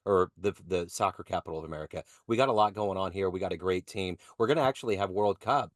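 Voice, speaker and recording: A man's voice: low voice, quick pace, no room sound, studio quality. A man hosting an interview podcast, asking questions in a casual, upbeat way. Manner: upbeat, conversational, enthusiastic about local sports. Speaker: podcast host.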